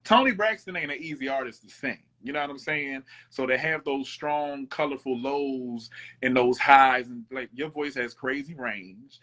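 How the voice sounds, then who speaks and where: Laid-back man in his thirties, bright tone. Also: medium pitch, moderate pace. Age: 30s. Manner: laid-back, conversational, keeps asking "you know what I'm saying". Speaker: guest musician. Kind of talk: casual interview chat. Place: away from home, keeping voice down.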